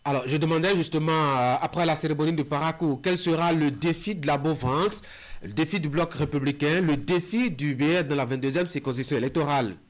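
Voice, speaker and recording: Male interviewer, West African French accent, somewhat high voice, hesitant, step-by-step political questioning. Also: fairly quick.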